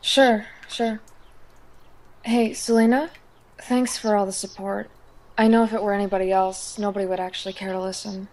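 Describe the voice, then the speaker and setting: A woman's voice: mid-range voice, level tone, moderate pace, darkish tone, a little hiss or hum, close to an everyday voice. Woman playing Pam, insecure mopey schoolgirl. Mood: brightening, grateful. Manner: self-pitying, hesitant. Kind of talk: amateur audio drama acting.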